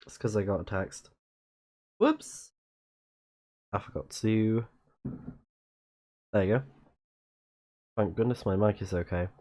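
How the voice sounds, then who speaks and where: A man with a low, slightly scratchy voice talks casually through a live let's play. He speaks as a streamer, commenting on the game and reading bits of it out. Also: slow pace, pauses often, dark tone. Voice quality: a bit scratchy.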